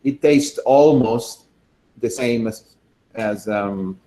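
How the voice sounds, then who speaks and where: A man from Argentina, demonstrating a recipe in a casual, enthusiastic way while thinking out loud. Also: medium pitch, takes it slowly, low-quality recording. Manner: chatty and enthusiastic, thinking aloud with fillers. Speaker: cooking demonstrator.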